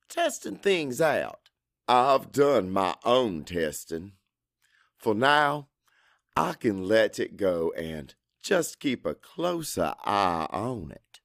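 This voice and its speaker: A man's voice: medium pitch, vocal fry, no room sound, clean studio sound, heavily acted. A man playing a middle-aged Cajun father, anxious and guarded, narrating his own story in a brooding, conversational audiobook style. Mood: resigned, guarded. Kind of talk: first-person dramatic audiobook narration.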